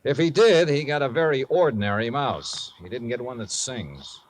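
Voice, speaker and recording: A man's voice: medium pitch, expressive, normal speed, slight background noise, close to an everyday voice. A man playing a laconic, dry-witted insurance investigator who also narrates, in a 1950s radio drama. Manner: laconic, dryly wry. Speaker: narrator and lead detective. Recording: vintage radio recording with music.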